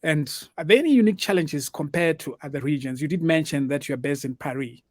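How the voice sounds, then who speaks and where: A man's voice: a little high, quick pace, darkish tone, dry recording, studio quality. Formal Zimbabwean man moderating a panel. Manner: formal, welcoming. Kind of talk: hosting a panel discussion.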